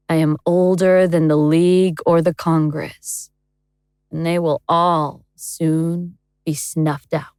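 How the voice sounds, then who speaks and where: An ancient elder goddess in human guise, speaks very low and unhurried. She is coolly commanding and menacing, in a dry, close audio drama recording. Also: darkish tone, no echo. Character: ancient powerful elder god posing as woman, coolly commanding.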